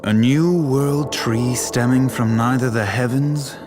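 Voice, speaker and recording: A man's voice: medium pitch, steady tone, slow, over music, close to an everyday voice. An actor playing a solemn, learned man expounding lore in a fantasy video game. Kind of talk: dubbed video game cutscene acting.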